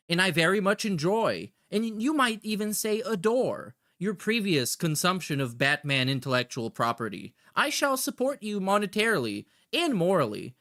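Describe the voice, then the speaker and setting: A man's voice: a little high, sharp tone, full character voice. A man voicing a gushing, overly formal male superfan, speaking in a stilted, fawning way for a comedy bit.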